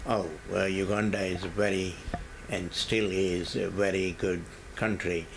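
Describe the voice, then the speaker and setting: Slow, hesitant older man with a gravelly voice. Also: deep voice, flat delivery, noisy background. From India. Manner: hesitant, halting, many fillers and repeats. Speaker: interviewee. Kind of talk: oral history interview.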